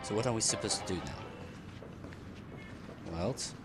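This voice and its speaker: A man streaming a game with casual, halting live commentary. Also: fairly low pitch, expressive. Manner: halting, reads dialogue choices aloud. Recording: loud game music behind voice. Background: game music.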